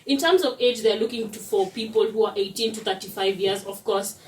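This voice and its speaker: A young woman from Kenya, explaining a student project to an audience in a steady way. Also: medium pitch, brisk. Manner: explanatory, steady, uses "basically" as a filler. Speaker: student presenter. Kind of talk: presentation. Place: lecture room. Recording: roomy echo, distant mic.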